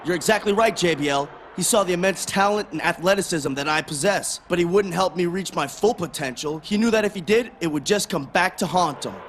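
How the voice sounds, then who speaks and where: A man's voice: high voice, brisk, hardly any pauses, slight background noise, lightly acted. A man playing a cocky, resentful wrestler in a video game, giving a smug, dismissive scripted interview. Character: cocky, resentful wrestler, scornful former student.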